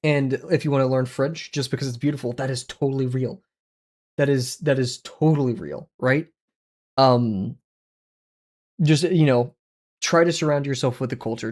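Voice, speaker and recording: A man's voice: mid-range voice, darkish tone. A young man streaming from a small home room as he draws, chatting in a rambling, casual way full of fillers and self-deprecating asides into a headset mic. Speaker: art streamer. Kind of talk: casual livestream chat while drawing. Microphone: headset with boom mic.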